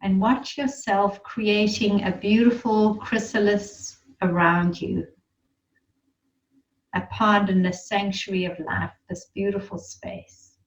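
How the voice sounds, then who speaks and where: Slow, distant-sounding woman guiding meditation. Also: mid-range voice, level tone, muffled recording. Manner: soothing, unhurried, gentle instruction with pauses. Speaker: meditation guide leading a group. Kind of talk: guided meditation.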